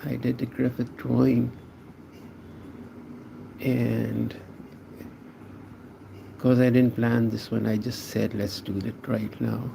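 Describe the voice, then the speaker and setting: A man's voice: medium pitch, level tone, takes it slowly, vocal fry, pauses often, mellow, slight background noise, rough recording. A man from Pakistan, chatting casually with viewers while he draws on a livestream, answering their comments in a laid-back way. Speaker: art livestreamer.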